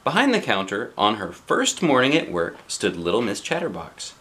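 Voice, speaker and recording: Animated man reading a children's storybook. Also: on the low side, moderate pace. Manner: playful, expressive, chatting with a child. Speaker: dad reading a picture book aloud.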